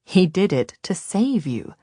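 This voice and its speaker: A guilt-ridden young man, voice a little shaky, blaming himself in low earnest tones, as a woman reading a storybook plays him. The recording is close and dry. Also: big pitch swings, unhurried, mellow, no room sound. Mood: somber. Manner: self-reproaching, shaky.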